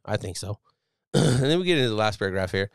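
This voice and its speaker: Loud, animated man with a strong voice. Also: fairly low pitch, quick pace, creaky, clear, resonant tone, no room sound, clean studio sound. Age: millennial, 30s. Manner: animated, profane, loud and emphatic. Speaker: podcast host, Navy chief. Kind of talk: casual solo podcast commentary.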